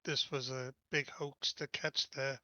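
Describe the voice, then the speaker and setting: Guy at a game session, sharp bright voice, blunt jokey banter, bit muffled, no room sound. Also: medium pitch, little pitch movement, unhurried, no echo. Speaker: tabletop game player. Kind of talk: casual joking banter.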